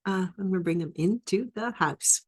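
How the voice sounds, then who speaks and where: A woman's voice: medium pitch, normal speed, husky. A woman hosting a live online roundtable, welcoming guests with many fillers and restarts. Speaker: host.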